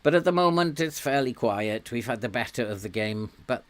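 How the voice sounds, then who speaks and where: A man live-streaming a management game, thinking aloud with frequent ums and soft chuckles while greeting viewers; he has a sore throat and is losing his voice. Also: mid-range voice, expressive, fairly quick.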